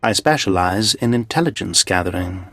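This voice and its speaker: A man's voice: sits low, animated, normal speed, a bit bright, no room sound, faint music behind. A man playing a haughty, short-tempered imperial officer in a video game, pompous and imperious. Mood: smooth apology. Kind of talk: video game cutscene acting.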